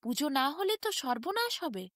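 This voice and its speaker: A frugal, devout old grandfather from a storybook , voiced by a woman. He frets dramatically in a high voice with very lively pitch, close and dry. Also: normal speed, no echo. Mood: anxious, fretful. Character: frugal, devout old grandfather, anxious but gentle scholar.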